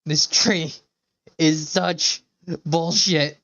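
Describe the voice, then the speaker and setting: Lightly pitched man, casual game streamer. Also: steady tone, drawn-out words, a bit bright. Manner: blunt, joking, sweary. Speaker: streamer reacting to game cutscenes. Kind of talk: casual, irreverent game commentary. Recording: dull lo-fi mic, game music behind.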